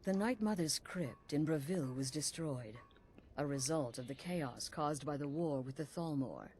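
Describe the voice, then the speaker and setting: A woman voicing a cool-headed assassin leader, briefing a recruit in a calm, dry, matter-of-fact way. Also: low voice, unhurried, a little hiss or hum. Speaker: assassin guild leader giving orders. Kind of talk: video game character dialogue.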